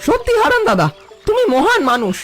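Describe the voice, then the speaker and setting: A woman's voice: very high-pitched, huge pitch swings, fairly quick, a bit bright, soft background music, full character voice. A woman voicing an outspoken village woman in a cartoon folk tale, with a pointed, dramatic delivery. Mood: admiring.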